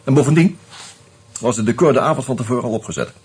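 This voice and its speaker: An actor playing a sociable, teasing screenwriter in a Dutch radio drama, speaking in a conversational, hesitant, confiding way. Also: male voice, medium pitch, quick pace, darkish tone, breathy edge. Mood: earnest, confiding. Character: sociable, teasing screenwriter sharing his suspicions over drinks.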